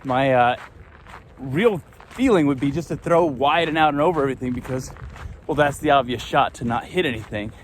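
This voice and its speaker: A man vlogging outdoors on a desert course, thinking aloud in a relaxed way as he walks between shots. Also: medium pitch, brisk, slight background noise. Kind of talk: casual walk-and-talk commentary. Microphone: handheld phone and gimbal camera.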